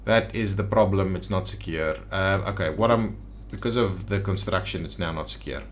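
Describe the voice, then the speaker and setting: Low-voiced man muttering through gameplay. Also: moderate pace, a little hiss or hum. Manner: thinking aloud, muttering to himself. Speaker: gaming video host. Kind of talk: casual gameplay commentary. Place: indoors at a computer.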